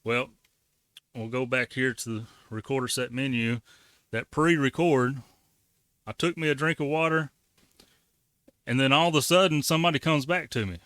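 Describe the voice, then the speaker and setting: A man's voice: mid-range voice, moderate pace, long pauses, a bit bright, no echo. A man giving a casual, folksy how-to walkthrough as the host of a hobby tutorial. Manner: folksy, colloquial. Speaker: ham radio tutorial host.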